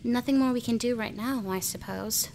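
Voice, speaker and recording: A woman playing a concerned, gently teasing junior police colleague in an amateur radio drama, polite and tentative. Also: a little high, normal speed, close to an everyday voice. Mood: wry. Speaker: voice actor in amateur radio play. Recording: faint background noise.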